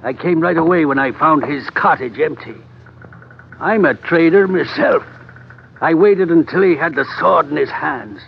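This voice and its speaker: An actor playing an earnest, determined trader in an old-time radio adventure, speaking in a measured, formal way. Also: male voice, fairly high pitch, gravelly. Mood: coldly determined. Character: earnest, determined male trader in the colonial East. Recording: dull, noisy vintage radio recording.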